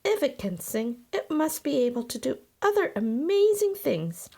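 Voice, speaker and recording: A woman's voice: sits high, very animated, moderate pace, creaky, mellow, heavily acted. A woman playing an earnest, eager young boy in a children's storybook.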